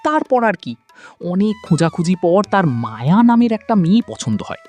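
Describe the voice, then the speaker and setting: A man playing a wealthy, chatty village gentleman telling amusing anecdotes in a colloquial cartoon style. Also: sits high, normal speed, faint music behind. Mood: amused storytelling. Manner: animated, colloquial storytelling.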